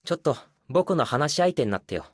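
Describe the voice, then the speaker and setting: A man's voice: fairly high pitch, sing-song, racing. A male actor playing an aloof, secretly lonely young man in an intimate, casual game voice drama. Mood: coy, intimate. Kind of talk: intimate phone-call game voice acting.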